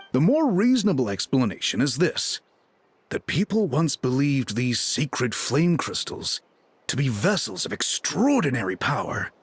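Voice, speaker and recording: Learned, expressive game chieftain. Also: male voice, mid-range voice, slight background noise. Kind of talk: video game character acting.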